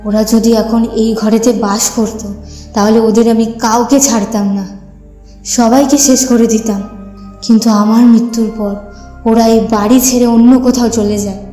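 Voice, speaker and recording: A woman's voice: fairly high pitch, flat delivery, measured pace, warm tone, close to an everyday voice. A woman playing a sorrowful, wronged young woman's ghost in a cartoon horror story, plaintive and solemn. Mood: bitter, vengeful. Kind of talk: dramatic audio story acting for cartoon. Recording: echoey, long reverb, music behind.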